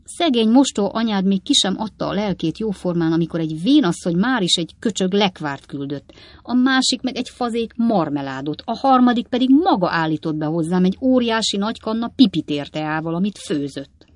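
A woman's voice: medium pitch, animated, no echo, pitched above the performer's own voice, close to an everyday voice. A woman voicing an old coachman father in a storybook reading, grumbling and reasoning pompously with emphatic indignation. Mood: indignant. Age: older.

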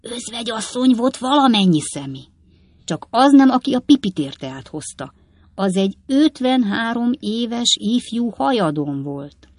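A woman's voice: fairly low pitch, lively intonation, a little mellow, no echo. Lady doing a grumbly old coachman dad for an audiobook, pompous n indignant, really leans on his words. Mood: grave grumbling. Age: older. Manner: emphatic, indignant, homespun reasoning.

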